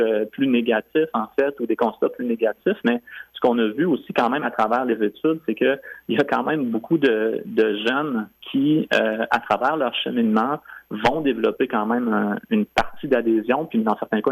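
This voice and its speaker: Man, Quebec French accent, radio interview guest, gravelly, husky, measured explanatory delivery. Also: medium pitch, no room sound, poor audio. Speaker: guest, French-language commissioner.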